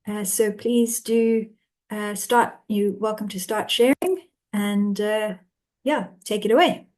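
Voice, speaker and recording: A woman hosts a webinar and introduces her guest in a polite, measured way. She speaks at a mid pitch over a video call mic. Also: a little mellow. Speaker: webinar host. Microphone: computer mic on a video call.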